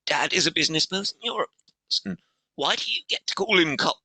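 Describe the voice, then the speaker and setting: Middle-aged guy, hoarse strained voice, bright sharp tone, dry close sound, pitch jumps around a lot. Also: animated, no echo. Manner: halting, breaks off and restarts. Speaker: podcast guest. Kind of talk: interview conversation.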